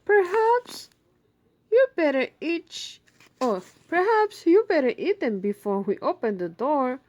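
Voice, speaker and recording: A woman's voice: high voice, very animated, takes it slowly, a little mellow, voice pushed up, heavily acted. A woman voicing a cheerful, hospitable mother in a children's storybook, speaking with emphatic exclamations. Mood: dismayed. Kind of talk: children's storybook read-aloud.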